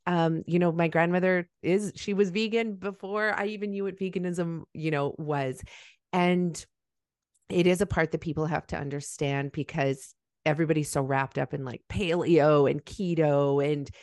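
Low-voiced woman hosting a podcast. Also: a little mellow, dry recording, studio quality. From Malawi. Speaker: podcast host. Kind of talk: casual interview.

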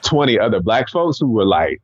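A man's voice: on the low side, very animated, brisk, breathy edge. An American man from the Atlanta area, a podcast guest casually telling personal stories in an informal, meandering way, with muffled, roomy sound. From Atlanta area, Georgia, United States. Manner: informal, meandering, many conversational tags. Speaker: podcast guest, education non-profit founder.

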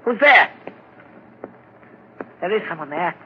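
A man's voice: high-pitched, animated, slow pace, slight background noise. An actor playing a terrified old man in a 1940s radio drama, crying out in a panicked shriek. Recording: muffled old radio broadcast.

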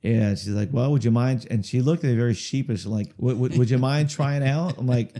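A man chatting in an interview about his music, talking in a halting way with lots of fillers, restarts and repeated words, chuckling now and then. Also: medium pitch, mellow. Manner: chuckles through stories. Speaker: musician guest. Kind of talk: casual rambling interview storytelling.

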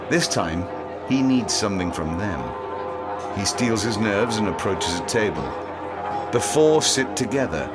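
A man narrating a scripted dramatic story. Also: sits low. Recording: loud music under the voice.

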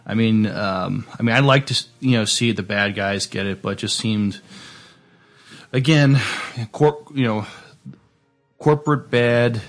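Gravelly man, halting and low. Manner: hesitant, halting. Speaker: podcast panelist. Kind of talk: casual film discussion.

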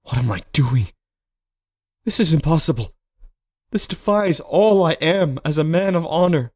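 A man's voice: on the high side, lively intonation, unhurried, darkish tone, voice pushed up. Man acting an anguished, honorable father, impassioned. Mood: anguished, self-reproaching. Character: desperate, honorable father wrestling with guilt, then dying. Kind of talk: dramatic storybook character acting.

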